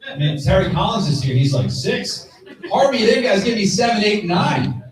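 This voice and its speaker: A man's voice: high voice, very animated, moderate pace. Blunt male sports panelist, very echoey hall. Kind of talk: conversational sports analysis. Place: live stage at a fan convention. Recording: very echoey hall, distant mic.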